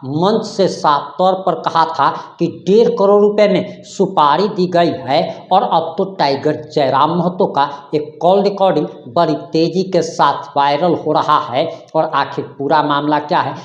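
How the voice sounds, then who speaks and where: A man presenting a scripted news report for a channel, addressing viewers directly. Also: fairly high pitch. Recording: roomy, slightly dull recording.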